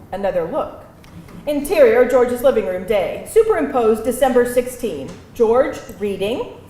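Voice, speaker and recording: A woman reading stage directions aloud as narrator at a live table read, expressive and theatrical, in a roomy venue. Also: on the high side. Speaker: screenplay narrator. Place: live event venue with audience. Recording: roomy live venue, faint background noise.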